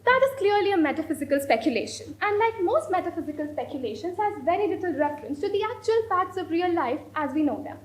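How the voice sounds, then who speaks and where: A woman's voice: extremely high pitch, expressive, quick pace, hardly any pauses. A woman playing an imperious, fanciful young society lady in a stage comedy of manners, declaiming with affected, grand emphasis. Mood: haughty, rapturous. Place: indoor stage performance. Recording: roomy stage recording with faint noise.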